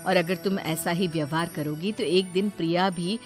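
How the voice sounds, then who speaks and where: A woman voicing a kind, gentle older neighbour in a Hindi moral cartoon, courteous and gently admonishing. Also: on the low side, fairly even intonation, normal speed, faint music behind, close to an everyday voice.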